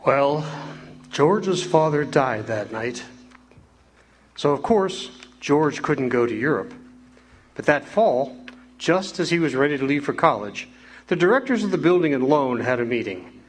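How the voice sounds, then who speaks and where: An actor narrating as a calm heavenly voice in a live radio play, measured and steady. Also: male voice, on the high side, slight background noise.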